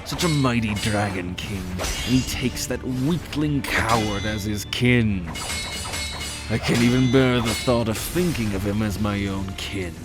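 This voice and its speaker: A man's voice: fairly low pitch, unhurried, loud music behind the voice, close to an everyday voice. A man playing a cruel, arrogant merman prince in a fantasy audio drama, taunting with haughty contempt. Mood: gloating.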